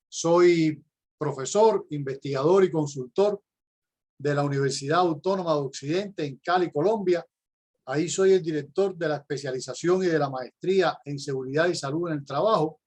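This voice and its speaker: A middle-aged man with a Cuban accent delivers a prepared conference talk, his voice sitting notably high for a man. He explains step by step in a measured tone and pauses now and then to find the next word. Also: a little mellow. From Cuba. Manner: measured, explanatory, with occasional hesitations. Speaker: university professor and conference speaker.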